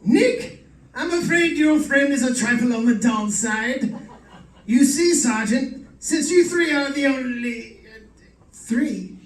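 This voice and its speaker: A man's voice: very high voice, expressive, takes it slowly, exaggerated voice. A man playing a gloating, urbane murderer in a live radio drama, chuckling and condescending in a theatrical way. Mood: smug menace. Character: gloating male villain, urbane murderer toying with detective. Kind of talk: live old-time radio drama acting. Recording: roomy stage recording, distant microphone.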